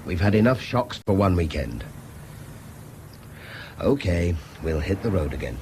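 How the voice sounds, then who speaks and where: An animated, wry British man. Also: sits low, a little slow. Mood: tense, weary. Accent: British English. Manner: articulate, theatrical turns of phrase. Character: educated middle-class Englishman, wry, increasingly harried and anxious. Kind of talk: naturalistic radio drama acting. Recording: noisy radio drama with background ambience.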